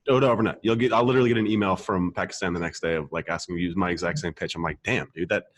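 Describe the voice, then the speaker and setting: A man's voice: deep voice, fairly even intonation, racing, no room sound. A man hosting a podcast, talking casually and emphatically, repeating points for stress and checking in with the listener. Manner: emphatic, repetitive, casual swearing, frequent check-in tags. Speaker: podcast host, marketer. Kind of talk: casual conversational advice.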